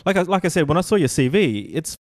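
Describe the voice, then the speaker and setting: A man in his forties hosting a podcast interview, enthusiastic and halting, full of stumbles and "like". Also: a little high, lively intonation, no echo. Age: 40s. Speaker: podcast host. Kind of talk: casual interview chat.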